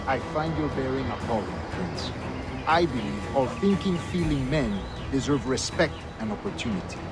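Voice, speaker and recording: An actor playing a stern, dignified old governor in a video game, with a Spanish accent and a formal, dry manner. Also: male voice, medium pitch, big pitch swings, moderate pace. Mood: stern, reasoning. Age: older. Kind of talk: video game cutscene acting. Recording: loud music behind the voice.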